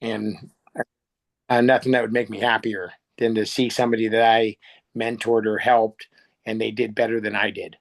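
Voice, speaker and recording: A man's voice: fairly low pitch, little pitch movement, normal speed, vocal fry. A middle-aged American man talking casually and reflectively as an interview guest, plain-spoken and often searching for words. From United States. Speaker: interview guest, air show pilot.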